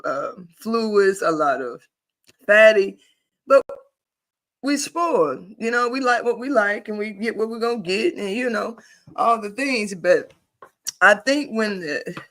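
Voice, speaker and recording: A man with a notably high-pitched voice hosts a live online session for his membership group, talking casually about energy and self-awareness. He speaks emphatically, repeats phrases as he builds a point, asks the listener rhetorical questions and laughs easily, all in a loose, folksy style. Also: unhurried, sharp tone. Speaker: host of a paid spiritual membership group.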